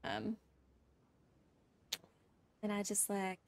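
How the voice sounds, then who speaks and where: A woman's voice: medium pitch, lively intonation, very slow, darkish tone, a little hiss or hum. A woman livestreaming a video game, giving casual, chatty commentary with playful reactions to what happens on screen. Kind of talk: casual live gameplay commentary.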